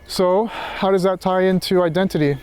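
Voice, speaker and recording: A man's voice: sits high, steady tone, moderate pace, darkish tone, a little hiss or hum, poor audio. A man thinking aloud in an unscripted reflective monologue, hesitant and searching, often circling back over his phrases. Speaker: philosophy vlogger.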